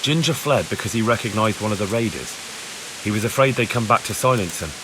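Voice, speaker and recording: A man's voice: on the low side, lively intonation, fairly quick, background noise, close to an everyday voice. An actor playing an earnest, plain-spoken young peasant man-at-arms in a video game, explaining himself respectfully. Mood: earnest, reporting. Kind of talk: video game cutscene acting.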